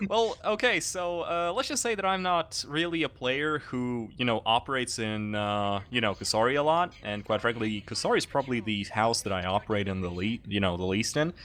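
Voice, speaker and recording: A man's voice: medium pitch, expressive, brisk, hardly any pauses, fairly bright tone. A young man hosting a casual interview chat, joking and swearing easily, with a lot of bounce in his voice. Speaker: channel host.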